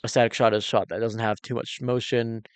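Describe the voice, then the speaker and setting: A man's voice: medium pitch, flat delivery, quick pace, smooth, no echo. A man giving casual, exclamatory reaction commentary as a cinematographer and host, thinking aloud informally while explaining technique.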